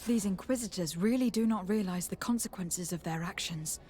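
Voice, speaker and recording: A woman's voice: medium pitch, brisk, warm tone, slight background noise, lightly acted. An actress playing a composed, determined noblewoman envoy in a video game, speaking formally and diplomatically. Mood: grave resolve.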